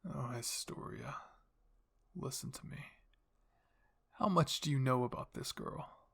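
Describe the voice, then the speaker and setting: A slightly old, grandfatherly man, played by a male narrator in an audio story. He speaks slowly, savoring his words with quiet menace, in a creaky, husky voice. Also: mid-range voice, heavily acted. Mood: coaxing. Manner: slow, savoring, deliberate delivery.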